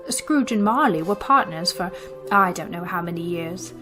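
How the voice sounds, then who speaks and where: A woman's voice: on the low side, expressive, moderate pace, sharp tone. A woman giving scripted narration as host and storyteller of a radio theater show. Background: music.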